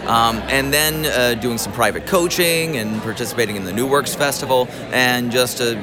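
A man's voice: medium pitch, moderate pace. Man explaining casually over background music. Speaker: voice actor and coach. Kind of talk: casual explanatory interview answers. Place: convention. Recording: music playing behind the voice.

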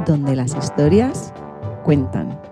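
A woman's voice: low-pitched, very animated, slow pace, music in the background. A woman hosting and reading scripted narration for a children's radio programme.

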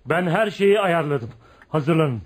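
A man's voice: fairly high pitch, animated, slow pace. A man acting a fierce, bossy, protective young man in a Turkish radio play, with an emphatic, theatrical delivery. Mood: grave, resolute.

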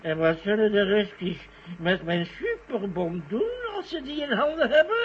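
An actor playing a tipsy, gloating old scientist, lecherous and rambling, in a vintage radio drama. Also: male voice, high voice, very animated, moderate pace. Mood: gloating, gleeful. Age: older. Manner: lecherous, gleeful, rambling, chuckling. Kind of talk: 1970s Dutch radio drama acting. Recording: dull, noisy old radio recording.

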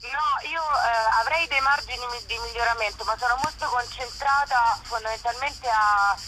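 A woman's voice: on the high side, normal speed, rough recording. A woman, chatting casually in an interview as an author and actress, with an ironic, self-deprecating, rambling humour. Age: 30s.

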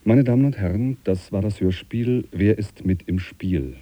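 A man reading radio drama credits as a formal announcer, in a measured manner. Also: on the low side, mellow, no room sound.

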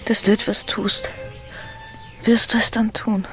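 An actress playing a pleading upper-class young wife in an intimate radio drama, urgent and breathy, often dropping to a whisper. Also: female voice, on the high side, flat delivery, a little slow, music in the background, lightly acted. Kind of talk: intimate German radio play acting.

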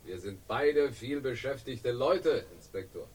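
Husky, suave crime boss, off-mic. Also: male voice, mid-range voice, expressive, unhurried, darkish tone, distant mic, rough recording. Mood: smoothly hospitable. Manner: urbane, smoothly evasive, ironic. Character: suave, slippery male crime boss feigning innocence. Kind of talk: 1960s German radio crime drama acting.

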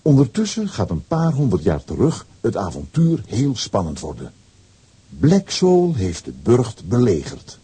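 Guy narrating a kids adventure tale, deep-ish dark husky voice, super swoopy intonation. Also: huge pitch swings, unhurried. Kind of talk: dramatic scripted narration for children's audio story.

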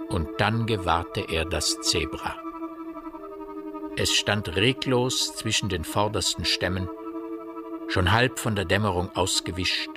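A man narrating a literary radio drama in a grave, measured manner. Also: fairly low pitch, natural voice. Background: synthesizer music.

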